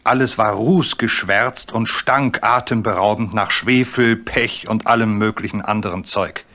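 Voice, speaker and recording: A man's voice: fairly low pitch, a little slow, pushed and bright. A man narrating a children's story from a script as part of a radio play.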